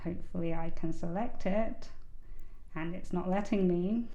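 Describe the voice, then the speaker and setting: A young British woman co-hosting a podcast, her voice sitting a little low. She talks casually and at length, looping back with fillers as she explains. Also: moderate pace, warm tone, dull audio, a little hiss or hum. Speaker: podcast co-host. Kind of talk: casual chat.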